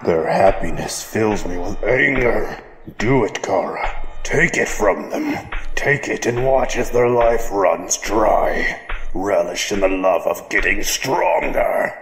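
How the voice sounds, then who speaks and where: Man playing sinister urging acolyte, ominous, commanding, drawn-out delivery, cavernous reverb, comic dub. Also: on the low side, expressive. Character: sinister anonymous follower urging ruthless power. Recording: echoey, long reverb.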